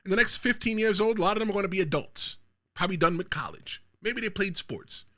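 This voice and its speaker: A man's voice: sits high, quick pace, sharp tone. A man from the Bronx hosting his own wrestling commentary show, talking straight to viewers and delivering emphatic hot takes. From the Bronx, New York. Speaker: show host.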